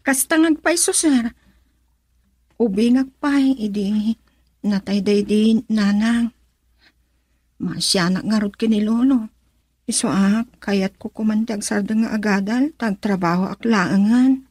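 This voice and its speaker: A woman's voice: medium pitch, a little slow, long pauses, darkish tone, breathy edge, dry recording, clean studio sound. An actress playing a polite, troubled young female student in an Ilocano radio drama, with a Filipino accent. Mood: wistful, earnest.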